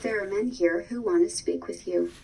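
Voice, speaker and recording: A woman's voice: fairly low pitch, normal speed, vocal fry, a little mellow, slightly rough, low-quality recording. Composed female android caretaker. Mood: gentle, composed. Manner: polite, composed, clinical. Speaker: actor in audio fiction. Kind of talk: audio drama acting.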